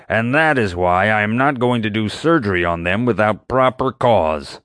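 A man's voice: on the low side, fairly bright tone, no echo, studio quality. A man voicing a crotchety, prickly male animal-park vet who growls his retorts.

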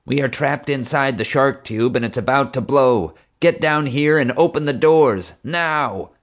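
A man's voice: medium pitch, expressive, moderate pace, voice pushed up, lightly acted. A man voicing a tough woman in charge of security, urgent and commanding, in an audiobook story.

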